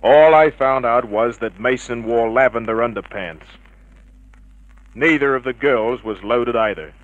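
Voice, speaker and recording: An actor playing a cynical, unflappable private detective, terse and dry, in vintage radio drama style. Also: male voice, mid-range voice, unhurried, narrow, tinny audio, natural voice. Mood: hard-boiled narrating. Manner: terse, hard-boiled, dry. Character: cynical, unflappable male private detective narrating his case.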